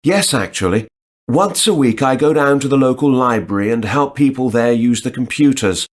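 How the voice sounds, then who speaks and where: A man acting as a relaxed job applicant in a textbook listening dialogue. He answers at a mid pitch and pauses to think aloud between answers. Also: close to an everyday voice.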